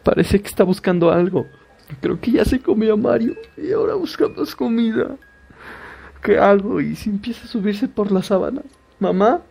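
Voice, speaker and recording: A man voicing a frightened young woman who pleads and stammers in panic, in a gravelly recorded horror story. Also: high-pitched, sing-song, normal speed, mellow, exaggerated voice. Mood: panicked.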